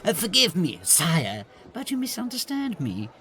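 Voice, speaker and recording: A woman's voice: fairly low pitch, huge pitch swings, normal speed, a bit bright, slight background noise, exaggerated voice. A woman voicing a fussy, self-important male lord in an audio comedy, obsequious and petulant. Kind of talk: audio comedy drama acting.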